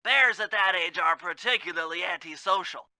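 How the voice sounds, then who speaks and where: A man's voice: high-pitched, moderate pace, sharp tone, dry recording, studio quality, full character voice. A man voicing a brainy, anxious animal gadget expert in a cartoon video game, nasal and precise in formal technical phrasing. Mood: explaining, clever.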